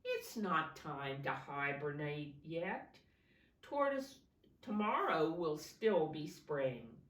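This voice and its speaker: A woman voicing a slow, grumpy but kindly male tortoise from a children's storybook, firm and weary. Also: deep voice, big pitch swings, full character voice. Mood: gentle. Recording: roomy echo, distant microphone.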